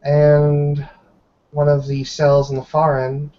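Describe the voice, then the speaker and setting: A man narrating a tabletop roleplay scene in a measured, descriptive way. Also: a little high, completely flat delivery. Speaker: roleplay game player narrating. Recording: dull, noisy online call audio.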